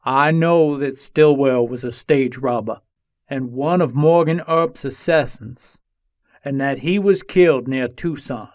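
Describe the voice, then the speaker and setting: A man's voice: mid-range voice, measured pace, no echo, studio quality, natural voice. A man playing an embattled Old West gunslinger who pleads his case from jail in formal, old-fashioned storytelling, in a dramatic reading. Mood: accusing, resentful.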